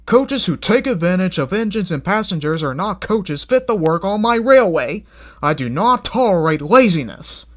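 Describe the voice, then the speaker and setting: A man's voice: high-pitched, very animated, moderate pace, fairly bright tone, voice pushed up. A man voicing a strict, portly railway manager laying down the law. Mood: stern. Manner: authoritative, firm. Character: stern portly man who runs the railway.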